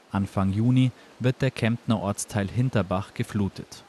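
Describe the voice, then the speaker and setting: A man reporter reading a scripted news voice-over in a steady, matter-of-fact way. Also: on the low side, slight background noise.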